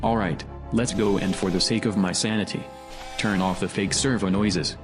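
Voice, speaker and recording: A man's voice: lowish voice, fairly even intonation, normal speed, over music, close to an everyday voice. A man playing a demanding, dryly exasperated hero in a sci-fi audio drama. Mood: weary, impatient. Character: weary, demanding male hero in custody.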